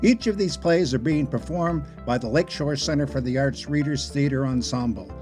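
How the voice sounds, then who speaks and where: A man reading scripted announcements and stage directions as a narrator, in a formal, measured way. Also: medium pitch, a little mellow, faint music behind.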